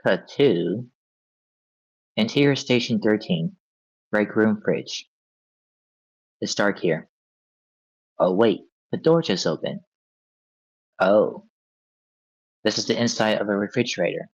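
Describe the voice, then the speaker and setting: A man's voice: fairly low pitch, slow pace, pauses often, breathy edge. A man reading scripted scene directions as narrator at a table read. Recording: dull, lo-fi sound.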